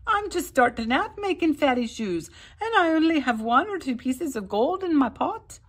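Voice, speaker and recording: A woman's voice: high voice, animated, normal speed, voice pushed up. A woman voicing a small, clever leprechaun who pleads and wheedles. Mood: pleading.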